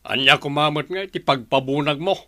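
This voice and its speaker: A man's voice: fairly high pitch, a little fast, bright, forceful tone, dry recording, clean studio sound. A man playing a proud, grudging village father who takes offence easily, in a radio drama. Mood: accusing, offended. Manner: gruff, accusing.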